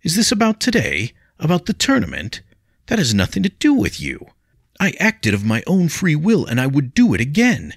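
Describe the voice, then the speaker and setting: A man's voice: on the high side, wildly swooping pitch, normal speed, no echo, clean studio sound, pitched above the performer's own voice, heavily acted. A man voicing a proud young male warrior pupil who protests and pleads in raised, indignant outbursts.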